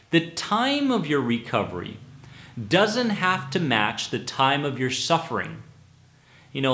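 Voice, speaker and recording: A man coaching listeners through anxiety speaks slowly and deliberately, leaning hard on repeated words. His fairly high voice rises and falls a great deal as he presses each point. The sound carries the echo of a reverberant room. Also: a bit bright. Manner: emphatic, repeats words for stress, slow deliberate delivery. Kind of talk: motivational talk to listeners.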